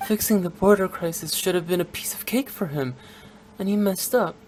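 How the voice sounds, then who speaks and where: A woman's voice: fairly low pitch, moderate pace, a little mellow, slight background noise, close to an everyday voice. A woman playing a young female funeral director in a video game, playful yet blunt and unsentimental, speaking in a frank, matter-of-fact way. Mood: firm, bitter. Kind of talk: scripted English video game voice acting.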